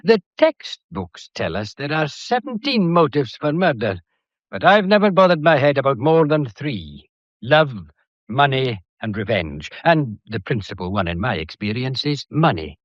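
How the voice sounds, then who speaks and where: A shrewd lecturing detective, lively pitch. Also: male voice, measured pace, no echo, highs cut off. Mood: pointed, deliberate. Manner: lecturing, courteous, faintly theatrical. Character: shrewd, methodical male police detective laying out a murder case. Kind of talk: British period mystery radio drama acting.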